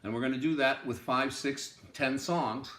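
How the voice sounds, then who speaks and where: A man's voice: mid-range voice, moderate pace, sharp tone. A man giving an informal music lesson as a teacher, explaining emphatically and repeating himself for stress. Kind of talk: informal instructional lecture. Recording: roomy, echoing room sound.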